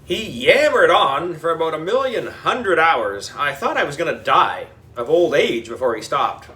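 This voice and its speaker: A man's voice: fairly high pitch, big pitch swings, moderate pace, sharp tone. Male audiobook reader, children's story, wildly varied intonation, playful, slightly distant microphone. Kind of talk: animated children's audiobook narration.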